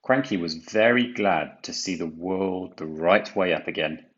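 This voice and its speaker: A man reading a children's storybook aloud, with deliberate, dramatic emphasis. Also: low voice, muffled recording. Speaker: storybook reader. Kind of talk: expressive children's read-aloud narration.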